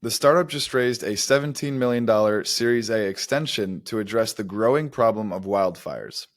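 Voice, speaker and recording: A man's voice: on the low side, normal speed, no room sound, studio quality. A man co-hosting a podcast, explaining startup news in an articulate, semi-scripted way. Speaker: podcast co-host.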